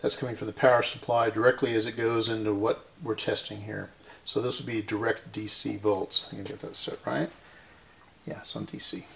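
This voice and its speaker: A man gives a hands-on repair demonstration, explaining informally and methodically step by step in a creaky voice. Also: lowish voice, little pitch movement, brisk, fairly bright tone, slight background noise. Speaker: host of a radio restoration series.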